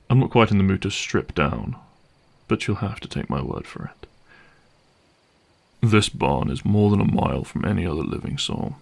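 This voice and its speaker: Guy doing a vampire hunter for audio roleplay, really creaky low-ish voice, slow, sardonic, menacing. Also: exaggerated voice. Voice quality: gravelly, creaky. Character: cynical, menacing male vampire hunter interrogating a captive.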